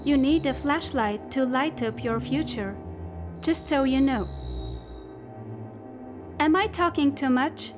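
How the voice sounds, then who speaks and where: A woman's voice: high-pitched, takes it slowly, long pauses, over music. A woman voicing a talkative, possessive AI spacesuit companion in a science-fiction audio drama, musing and repeating herself as she speaks intimately to her listener. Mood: chatty, musing. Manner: musing, repetitive, intimate direct address.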